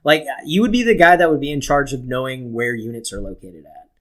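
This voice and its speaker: A man's voice: medium pitch, big pitch swings, brisk, fairly bright tone. A man chatting casually as a podcast co-host, telling stories in a profane, self-mocking way. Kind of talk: casual chat, storytelling.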